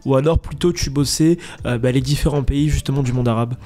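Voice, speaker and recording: A man's voice: medium pitch, a little fast, warm tone. Friendly male interviewer. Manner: friendly, prompting with hesitations. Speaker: interviewer, host. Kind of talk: casual interview questions.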